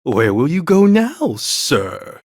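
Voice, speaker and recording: A husky, wildly swooping polite interrogator. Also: mid-range voice, sing-song, takes it slowly, darkish tone, no echo, clean studio sound, heavily acted. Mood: probing. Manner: mock-polite, probing, theatrical. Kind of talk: dramatic video game cutscene dialogue.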